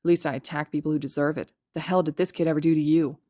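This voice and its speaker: A woman's voice: low voice, steady tone, fast, warm tone, no room sound, clean studio sound, close to an everyday voice. A woman voicing a sardonic, menacing young male villain with a blunt, sneering drawl in a story reading. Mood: contemptuous.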